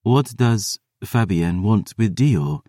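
A young vampire woman, cold and defiant, speaking slowly and deliberately, as a male narrator plays her. His own deep voice shows through, and the recording is close and dry. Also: animated, mellow, no room sound.

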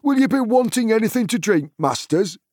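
A man's voice: high voice, lively intonation, fairly quick, darkish tone, slightly rough, dry recording, studio quality, voice pushed up. A man voicing an eager, cheery, deferential hobbit servant in a fantasy audiobook.

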